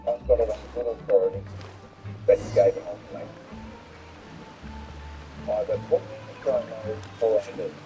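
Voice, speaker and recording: A man's voice: on the low side, fairly even intonation, moderate pace, pauses often, music in the background. A man chatting casually, heard at a distance. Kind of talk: casual chat.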